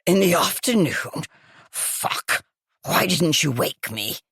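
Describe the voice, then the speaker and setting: A woman voicing a groggy older woman with a croaky, gravelly, smoky voice. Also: sits low, lively intonation, slow, a bit bright, no echo, studio quality, heavily acted.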